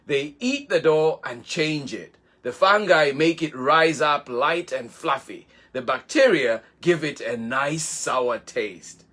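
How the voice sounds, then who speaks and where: A man's voice: fairly high pitch, animated, slow, hardly any pauses, bright, forceful tone, pitched below the performer's own voice, exaggerated voice. A man reading the part of an easygoing uncle in a storybook, explaining things patiently. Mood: friendly. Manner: chatty and explaining.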